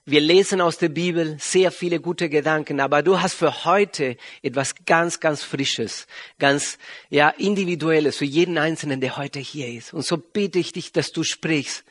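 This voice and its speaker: Argentine guy preaching in church, spanish native accent, bit higher voice, kinda searching for words. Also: normal speed, fairly bright tone. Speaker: visiting pastor and regional church leader. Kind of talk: sermon introduction to a congregation.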